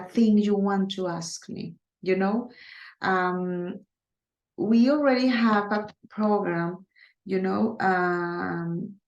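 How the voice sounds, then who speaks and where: A woman's voice: medium pitch, creaky, roomy sound. A woman from Colombia, a native Spanish speaker with a Colombian accent, teaching a recorded Spanish class in English with many hesitations. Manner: hesitant, many fillers, speaks slowly on purpose. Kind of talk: recorded online class, casual.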